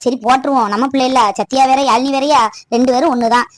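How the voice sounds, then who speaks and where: A woman's voice: high voice, steady tone, fast, natural voice. A woman playing a protective middle-aged aunt in a family drama, chatty and insistent, with a colloquial southern Tamil Nadu accent. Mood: aggrieved, confiding.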